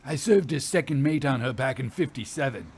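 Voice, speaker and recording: A man's voice: medium pitch, lively intonation, fairly quick, darkish tone, husky. A man voicing a defiant, scornful old sea captain in a story, with indignant, archaic and emphatic speech.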